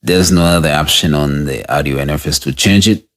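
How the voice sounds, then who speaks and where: A man with a deep voice giving a casual, unscripted product review, talking through the gear as he tests it and restarting sentences as he goes. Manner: unscripted, halting, restarts mid-sentence while testing gear.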